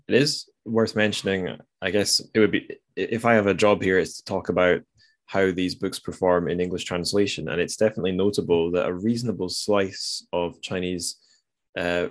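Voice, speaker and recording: A man's voice: sits low, steady tone, normal speed, dry recording. A man hosting a casual podcast discussion, speaking in a tentative way and often qualifying what he says. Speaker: podcast host.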